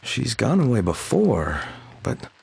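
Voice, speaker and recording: A woman voicing a gentle grown man who cares for young children, speaking calmly and patiently. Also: very deep voice, takes it slowly, gravelly, breathy edge, pitched below the performer's own voice, full character voice.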